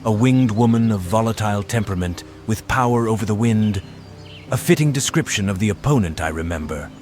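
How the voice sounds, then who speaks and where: An actor voicing a troubled, earnest warrior with lost memory in a video game, speaking formally and gravely. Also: male voice, fairly low pitch, expressive, fairly quick, darkish tone, close to an everyday voice. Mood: grave, courteous. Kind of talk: scripted video game voice acting. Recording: studio voice under game music.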